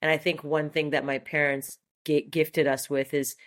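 A woman's voice: deep voice, little pitch movement, normal speed. A woman in her forties from Montreal, a native French speaker, talking enthusiastically as an interview guest with many fillers and restarts. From Montreal, Canada. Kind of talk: casual interview conversation.

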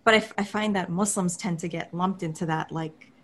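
A woman's voice: on the low side, fairly even intonation, fairly quick, slight background noise. Chatty woman, rambly, says like a lot. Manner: rambling, many fillers like "like" and "you know". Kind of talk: casual group chat.

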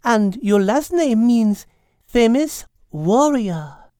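A poised French female cat speaks slowly in a high, soft-edged voice. She is formal, wise and a little stern, measuring out each phrase as in a storybook. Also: big pitch swings, no room sound, clean studio sound, heavily acted. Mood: gently explaining. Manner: measured, formal, slow and deliberate.

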